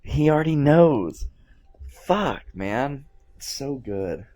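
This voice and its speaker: A man's voice: medium pitch, big pitch swings, slow, dark tone. A man giving casual, informal film commentary as host, talking like an enthusiastic fan.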